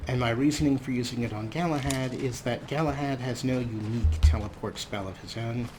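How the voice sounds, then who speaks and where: A man's voice: mid-range voice, brisk, noisy background. Sarcastic man commenting on gameplay. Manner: sarcastic and self-mocking, casual swearing. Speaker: let's play host.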